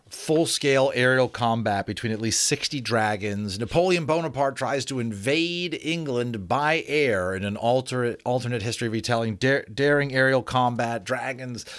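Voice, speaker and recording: A man's voice: mid-range voice, a bit bright, dry recording. A man hosting a book reading, chatting informally and enthusiastically with rambling asides. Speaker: narrator, host of dramatic book readings. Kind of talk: chatty commentary and dramatic audiobook reading.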